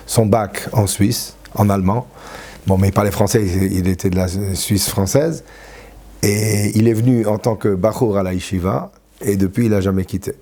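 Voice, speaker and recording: A man in his sixties from France, a rabbi recalling his life in an interview, halting often with fillers and restarts. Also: fairly low pitch, mellow. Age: 60s. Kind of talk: reminiscing interview.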